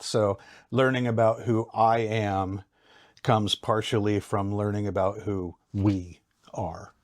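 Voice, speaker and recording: A middle-aged man from Ohio with an American accent, a psychology lecturer explaining ideas in a measured way, often pausing with ums and restarts, speaking over a video call. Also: lowish voice. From Ohio, United States. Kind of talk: explanatory academic talk.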